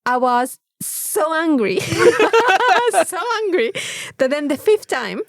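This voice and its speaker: A woman with a Mexican accent telling an anecdote in a casual, animated podcast chat, swearing freely. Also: very high-pitched, slow pace, gravelly, no echo, clean studio sound. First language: Spanish. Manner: animated storytelling with frequent swearing. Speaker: podcast co-host.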